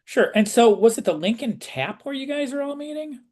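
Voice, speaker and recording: A man's voice: very high-pitched, lively intonation, fairly quick, a little mellow. A man working as an interviewer, speaking in a casual, conversational style.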